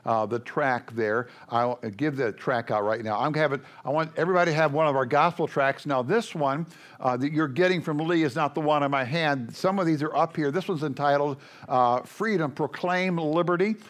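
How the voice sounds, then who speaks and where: Loud, joking older preacher man. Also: a little high, normal speed. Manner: loud, joking, rambling with many fillers. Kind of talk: folksy sermon to a congregation.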